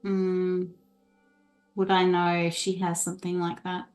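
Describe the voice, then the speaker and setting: A woman's voice: on the low side, monotone, unhurried, a little mellow, faint music behind. A woman chatting casually as a player in a tabletop role-playing game.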